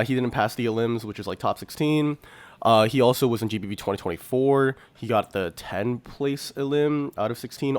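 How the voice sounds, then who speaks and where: A man co-hosting a podcast, giving informal, slangy commentary with a fan's enthusiasm. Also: medium pitch. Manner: slangy, enthusiastic fan talk. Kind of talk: casual, informal discussion.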